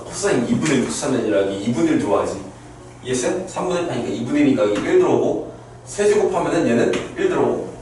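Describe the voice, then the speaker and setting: A man of about thirty teaches a math class, walking students through each step and checking after each one that they understood. His voice sits high and swoops up and down. He is recorded from across a very echoey classroom. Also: a little hiss or hum. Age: around 30. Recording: very echoey classroom, mic far off.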